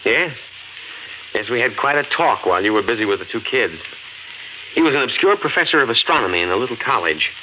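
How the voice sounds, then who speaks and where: An actor playing a calm, reassuring husband in a 1950s radio drama, speaking in a measured, explanatory way. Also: male voice, medium pitch, lightly acted. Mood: explaining. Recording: dull, lightly hissy 1950s radio recording.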